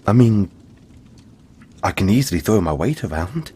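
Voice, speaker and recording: A man voicing a big, friendly, apologetic yeti in an audio roleplay, speaking gently to the listener in a halting, chatty, playful way. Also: on the low side, sing-song, slightly rough. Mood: gentle, modest.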